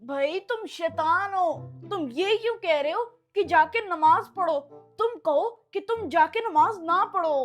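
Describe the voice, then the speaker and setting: A mischievous schoolboy son, acted by a woman in a family comedy skit. The voice is extremely high, cheeky and bossy, with faint music behind it. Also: expressive, normal speed, a bit bright. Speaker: actor in a comic skit. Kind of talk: comic family skit acting.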